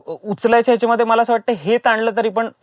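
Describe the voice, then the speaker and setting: A man's voice: extremely high pitch, steady tone, quick pace, no room sound, clean studio sound. A man with an Indian accent, a voice actor and podcast guest, explaining step by step in casual talk with frequent check questions.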